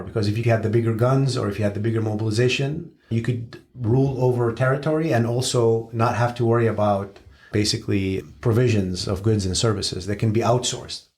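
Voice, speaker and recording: A man from Syria giving long, measured, analytical answers as a guest expert, with frank asides. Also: on the low side, darkish tone.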